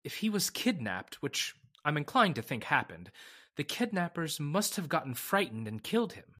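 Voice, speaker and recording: A man playing a grave, confiding lawyer in an old-time radio drama, speaking formally and deliberately. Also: on the high side, big pitch swings, sharp tone, heavily acted. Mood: uneasy. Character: grave, confiding male lawyer settling an estate.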